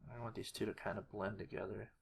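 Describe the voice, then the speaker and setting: Someone giving an informal editing walkthrough, talking haltingly and muttering to themselves while working. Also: low-pitched, flat delivery, creaky, breathy edge. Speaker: tutorial creator. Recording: noisy home recording, keyboard and clicks. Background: mouse clicks and keyboard typing.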